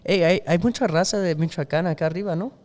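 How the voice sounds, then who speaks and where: A man hosting a podcast, with a smooth, resonant voice pitched a bit high. He talks loose and friendly, full of slang and Spanish phrases, and the room rings with a long echo. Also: rapid-fire, darkish tone. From Los Angeles area, US. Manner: laid-back slang, mixes in Spanish. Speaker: podcast host. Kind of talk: casual interview chat.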